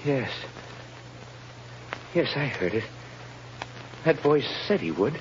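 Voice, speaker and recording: An actor playing a freed male prisoner who talks in a halting, breathless way in a 1930s radio drama. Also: medium pitch, very animated. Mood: awed. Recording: dull old radio recording with hiss.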